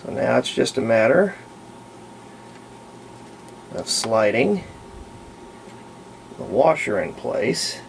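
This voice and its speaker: A man's voice: lowish voice, creaky, slight background noise, rough recording. A man talking casually and unscripted in a folksy way as he demonstrates a hands-on repair at an indoor workbench. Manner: folksy, unscripted, narrating while working. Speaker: hobbyist radio restorer presenting a repair.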